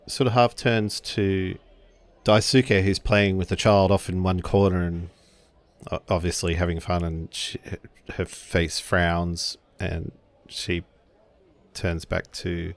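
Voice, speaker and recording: A man improvising third-person narration as a player in a tabletop role-playing game, halting as he thinks aloud. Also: low-pitched, creaky.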